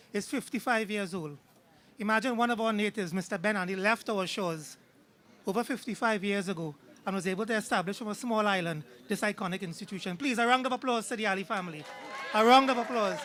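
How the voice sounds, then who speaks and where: A man's voice: high voice, fairly bright tone. Trinidadian man giving a formal address. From Trinidad and Tobago. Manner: stately, ceremonial. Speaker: ambassador. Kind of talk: formal ceremonial speech. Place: outdoors on a city street.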